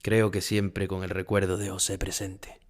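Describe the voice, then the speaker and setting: An actor playing an aging, haunted Spanish bullfighter who recalls his past gravely in a dramatic audio monologue. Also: sits low, little pitch movement, moderate pace, close to an everyday voice. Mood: wistful.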